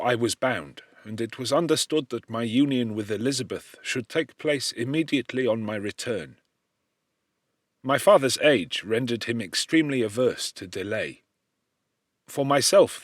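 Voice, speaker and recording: A man reading a classic novel as an audiobook narrator, in a measured, expressive storytelling manner with formal diction. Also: medium pitch, dry recording, clean studio sound. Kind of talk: dramatic literary audiobook narration.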